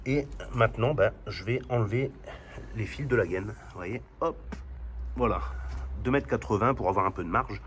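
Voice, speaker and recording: A man's voice: lowish voice, normal speed, a little hiss or hum. A man hosting a hobby DIY video, chatting informally and thinking aloud as he demonstrates by hand. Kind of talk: casual hands-on demonstration.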